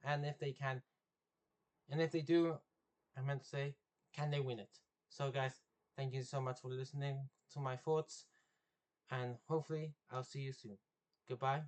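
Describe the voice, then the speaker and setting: A man's voice: medium pitch, fairly even intonation, moderate pace, long pauses. A young man from England in a casual, rambling football vlog, often correcting himself and losing his train of thought. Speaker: football vlogger.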